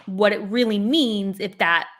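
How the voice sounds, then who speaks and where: A woman's voice: medium pitch, unhurried, fairly bright tone. A woman hosting a casual book discussion, talking in a rambling way with lots of fillers and restarts. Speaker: booktube host.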